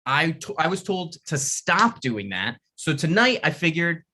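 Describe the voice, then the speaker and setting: A man's voice: on the high side, very animated, unhurried, sharp tone, slight background noise. A man reads a script at a table read. He sounds hesitant and self-deprecating, stumbling and restarting his sentences.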